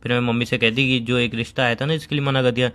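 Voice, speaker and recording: A man's voice: mid-range voice, little pitch movement, very fast, fairly bright tone, close to an everyday voice. A young man playing a teasing, argumentative boyfriend in a casual comic phone-call skit. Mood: sheepish, explaining. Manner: teasing, argumentative, mock-aggrieved.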